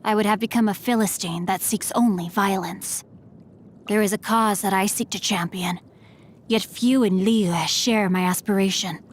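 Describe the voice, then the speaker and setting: A woman's voice: medium pitch, steady tone, normal speed, a little hiss or hum, lightly acted. A woman voicing a courteous young swordsman-scholar. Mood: polite, composed. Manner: polite, formal and gently teasing. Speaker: game character voice lines. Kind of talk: scripted game voice lines.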